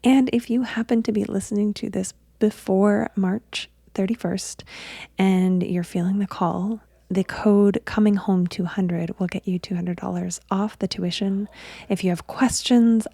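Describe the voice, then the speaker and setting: Gravelly-voiced woman podcast host. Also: medium pitch, steady tone, a little slow, mellow, no echo. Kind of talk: podcast interview.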